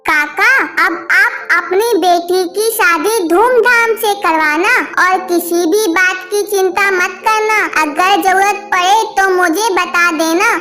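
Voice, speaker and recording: A woman voicing a kind, helpful young female bird, speaking earnestly and sweetly in a children's animated story. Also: extremely high pitch, slow pace, few pauses. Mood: reassuring.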